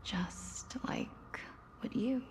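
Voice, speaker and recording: A woman playing a sorrowful, soft-spoken demigod of death in a video game, solemn and breathy. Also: very slow, whispered. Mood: solemn, reflective. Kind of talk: English video game voice acting, slow storytelling. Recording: game dialogue under loud music.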